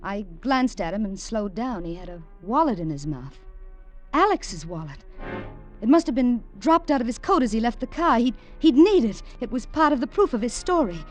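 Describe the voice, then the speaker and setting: A woman's voice: on the high side, big pitch swings, moderate pace. A woman narrating a 1950s radio drama in a tense, dramatic way. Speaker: narrator and lead character. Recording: old radio recording with music score.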